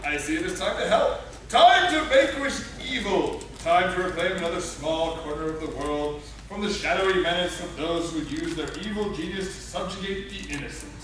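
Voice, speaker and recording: A man playing a pompous, hearty gentleman adventurer with grandiose delivery in a comic radio play. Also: expressive, normal speed, few pauses. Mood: heroic zeal. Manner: grandiose, booming declamation. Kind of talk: comic radio play acting before a live audience. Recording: echoey hall from far off, faint noise.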